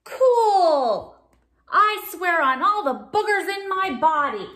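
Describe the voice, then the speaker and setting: A woman voicing an excitable, defensive shark full of bluster, exclaiming insistently as in a children's picture book. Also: very high voice, slow, roomy sound, pitched above the performer's own voice, heavily acted. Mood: delighted.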